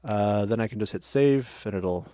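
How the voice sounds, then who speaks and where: A man's voice: on the low side, normal speed, mellow, no echo. A man casually talking through his podcast editing steps, correcting himself and narrating each click as he works. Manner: chatty, self-correcting, talks while working. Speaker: audio editing tutorial host. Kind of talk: casual screen-share tutorial.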